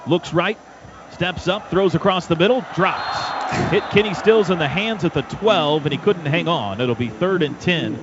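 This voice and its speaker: An American man calling live football play by play on radio, in clipped phrases that rise to shouts on big plays. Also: a little high. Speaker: play-by-play announcer. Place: stadium radio booth. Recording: dull radio broadcast, loud stadium noise behind.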